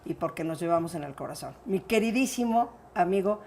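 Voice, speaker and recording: A woman's voice: fairly low pitch, lively intonation, brisk, vocal fry, a little mellow. Effusive Mexican woman hosting an interview. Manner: effusive, affectionate. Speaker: interview show host. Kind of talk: warm, affectionate personal interview.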